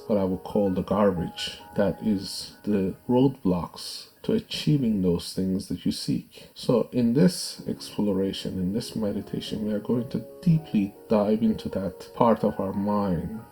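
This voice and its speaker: A man narrating a guided meditation in a measured, soothing, instructive way. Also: fairly low pitch, warm tone. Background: background music.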